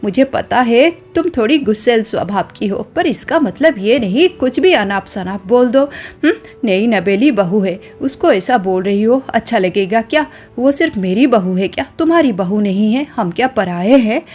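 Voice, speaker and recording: A woman voicing a kindly older village mother-in-law who gently advises her daughter-in-law. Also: a little high, animated, normal speed. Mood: gentle advising.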